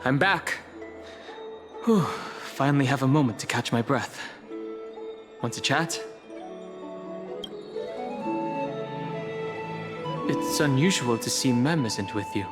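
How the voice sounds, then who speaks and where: An actor playing a calm, guarded, loyal travelling companion in a video game, serious and thoughtful. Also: male voice, medium pitch, a little slow, long pauses, over loud music, close to an everyday voice. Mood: concerned.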